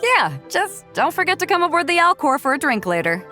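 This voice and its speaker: Lively, high-voiced ship captain. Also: female voice, fairly quick, over loud music. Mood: breezy. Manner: breezy, casual. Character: easygoing, generous, hearty woman ship captain. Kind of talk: video game cutscene voice acting.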